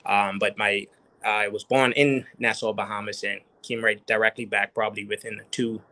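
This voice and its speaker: A lowish, bright man's voice from the Turks and Caicos Islands answers interview questions as a guest. He talks casually and hesitantly, filling pauses with ums as he thinks aloud. He is heard over a remote call. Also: little pitch movement. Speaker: guest entrepreneur.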